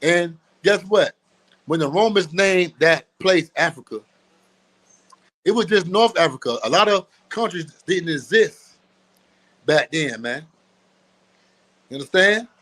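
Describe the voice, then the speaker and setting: A man's voice: on the high side, lively intonation, measured pace, long pauses, fairly bright tone. A man teaching in an emphatic, preachy way, repeating phrases and laughing often. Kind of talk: street-teaching talk.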